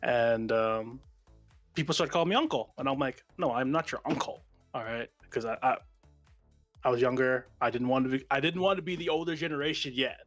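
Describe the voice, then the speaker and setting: A man's voice: medium pitch, brisk, fairly bright tone, no echo, faint music behind. A young man with a Vietnamese American accent, chatting casually on a panel call and laughing often as he tells stories about himself. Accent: Vietnamese American English. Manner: laughs often, self-deprecating storyteller. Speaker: VTuber panel guest.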